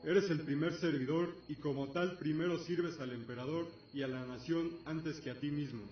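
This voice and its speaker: Imperious, scornful griffin god for fantasy radio drama, male actor; slightly high voice, reverberant, music underneath. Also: steady tone, moderate pace, close to an everyday voice. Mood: solemn, commanding. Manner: commanding, solemn, contemptuous. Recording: echoey, distant, dull lo-fi with music.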